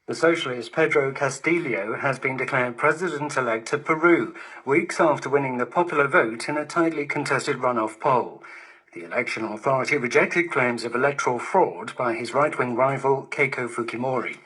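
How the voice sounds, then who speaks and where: A man reads a formal radio news bulletin in a bright, projected voice. His mid-pitched tone has a slightly rough edge, heard over a digital shortwave broadcast. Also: moderate pace, poor audio. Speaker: news presenter.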